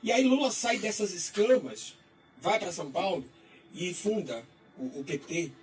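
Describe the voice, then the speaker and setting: A man's voice: high-pitched, lively intonation, unhurried, slight background noise. A man, hosting a radio talk show in a chatty, folksy manner. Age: 50s. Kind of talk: casual radio interview. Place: radio studio. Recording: distant mic, faint music underneath.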